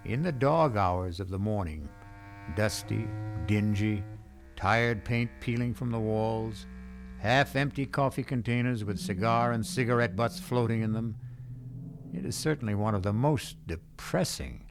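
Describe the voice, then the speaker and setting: A man narrating a radio drama from a script in a deliberate, literary, dramatic style. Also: fairly low pitch, vocal fry, slight background noise. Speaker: narrator and host. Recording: old radio broadcast.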